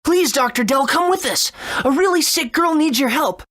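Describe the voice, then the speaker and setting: A woman's voice: high voice, lively intonation, moderate pace, fairly bright tone. A woman voicing an earnest, eager young boy hero in a video game. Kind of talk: video game character acting and narration.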